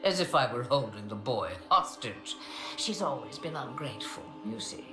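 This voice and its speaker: A woman's voice: extremely low pitch, lively intonation, moderate pace. An actress playing a sly, imperious old witch and grandmother in a fantasy game, with wry, archaic, theatrical phrasing. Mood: mocking, amused. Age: older. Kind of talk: dramatic fantasy game acting. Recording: echoey, music behind.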